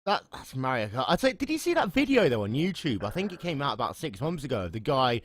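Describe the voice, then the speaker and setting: A man's voice: medium pitch, very animated, fast, a bit bright, slight background noise. A young man from England with an English accent, co-hosting a podcast in crude, joking casual chat. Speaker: podcast co-host.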